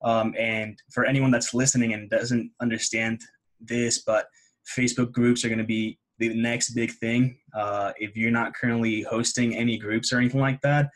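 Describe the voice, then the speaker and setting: A man's voice: medium pitch, flat delivery, moderate pace, a bit bright, low-quality recording. A man hosting a casual interview, upbeat and chatty. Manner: upbeat, uses "super" and "awesome".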